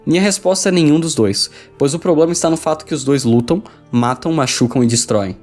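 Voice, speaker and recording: A man's voice: a little high, a little fast, darkish tone. A man with a Brazilian accent reading a scripted review as a video essay narrator. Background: background music.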